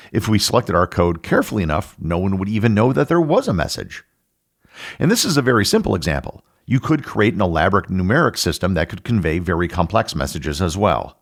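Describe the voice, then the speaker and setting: Deep, gravelly man narrating with swooping intonation. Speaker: podcast host. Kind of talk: scripted educational narration.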